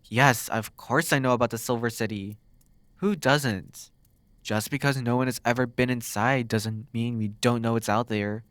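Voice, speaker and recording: A man playing a flirty, sardonic demon captor in an intimate ASMR roleplay, teasing and curious, drawing words out with dramatic pauses. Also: medium pitch, steady tone, a bit bright, no echo, lightly acted. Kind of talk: ASMR roleplay, intimate one-sided dialogue.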